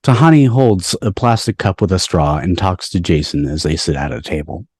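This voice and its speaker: A man's voice: sits low, normal speed, darkish tone, slightly rough, dry recording. A man reading stage directions as the narrator of a scripted table read. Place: video call from indoors.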